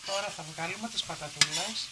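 A middle-aged woman hosting a home cooking demonstration in her kitchen, explaining each step in a homely, encouraging way. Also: lowish voice. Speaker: cooking show host. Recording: kitchen noise behind voice.

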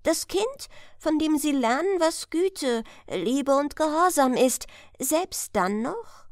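A woman's voice: high voice, animated, slow, dry recording, studio quality, pitched above the performer's own voice. A woman voicing a solemn, declamatory stork messenger bearing a holy child in a fairy-tale audiobook. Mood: solemn, excited.

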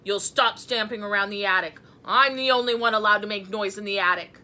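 A woman's voice: a little high, sing-song, takes it slowly, sharp tone, heavily acted. A woman voicing a kindly but bossy male house ghost in a storybook, with a commanding, scolding manner. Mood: stern, exasperated.

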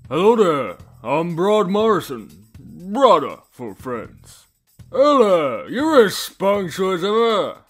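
A gruff biker in his fifties acted by a man, speaking unhurriedly at a slightly high pitch. He grumbles and teases bluntly, like an old comrade in a character demo with music underneath. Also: very animated, takes it slowly, a bit bright, heavily acted. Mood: teasing.

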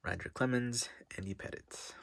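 A man's voice: mid-range voice, moderate pace, gravelly, a bit bright. A middle-aged man with a stuffy nose chatting casually as he opens packs of cards at his desk, reading out names and sometimes dropping to a near-whisper. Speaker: card-opening vlogger. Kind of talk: casual commentary while opening card packs. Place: desk in front of a wall of card boxes.

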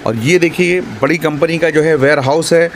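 A man's voice: on the high side, fairly even intonation, moderate pace, slight background noise. A man in his thirties narrating a walking real estate tour, pointing out sites to viewers in a promotional way. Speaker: property video host. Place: outdoors by roads and at an office.

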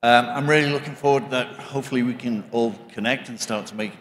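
A man's voice: mid-range voice, quick pace, background noise. A man in his fifties making informal remarks as an industry panelist into a handheld microphone. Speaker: panelist, game industry executive. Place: conference stage. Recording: reverberant hall stage sound.

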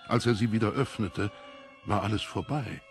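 Ein Mann liest als Hörbucherzähler einen literarischen Text, mit rauer Stimme und bedachter, dramatischer Phrasierung. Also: male voice, lowish voice, moderate pace, faint music behind. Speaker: audiobook narrator. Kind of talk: scripted literary narration.

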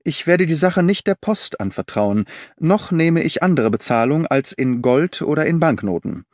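A man reading a detective story aloud as an audiobook, giving each quoted character a slightly different tone in a measured storytelling manner. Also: on the high side, expressive, darkish tone, no echo, clean studio sound. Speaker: audiobook narrator. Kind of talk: scripted audiobook narration with voiced dialogue.

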